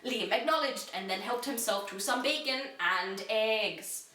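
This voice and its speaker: Bright, high-voiced woman reading in echoey room. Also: measured pace, distant mic. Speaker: reader. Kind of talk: dramatic reading of an amateur children's fantasy story. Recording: echoey room, away from the mic.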